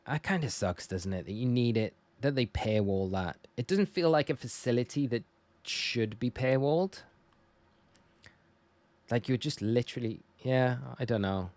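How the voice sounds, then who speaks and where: A man's voice: mid-range voice, lively intonation, moderate pace, a little mellow, no echo. A man from northeast England with a northern English accent, chatting casually and informally to viewers as a live game streamer. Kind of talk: casual livestream chat while gaming.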